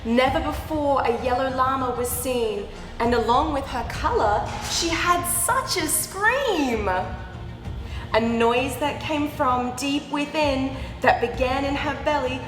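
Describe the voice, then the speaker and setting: A woman reading a picture book aloud to young children, expressive, with animated, exaggerated reactions and asides. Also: high voice. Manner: animated, exaggerated reactions with gasps and drawn-out words. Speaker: children's storyteller. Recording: roomy echoing hall sound, background music. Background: music.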